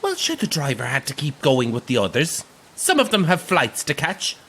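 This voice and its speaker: An actor with an Irish accent playing a brash, sarcastic coach tour guide in an audio drama, theatrical and teasing. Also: male voice, fairly high pitch, wildly swooping pitch, normal speed, sharp tone, slightly rough, full character voice. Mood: exasperated.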